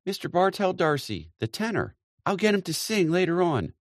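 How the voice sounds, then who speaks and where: A man voicing an eager, bustling woman who hosts a party, talking in a high, chattering way. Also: big pitch swings, moderate pace, dry recording, studio quality. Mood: gushing. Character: older woman bustling hostess, eager and gushing.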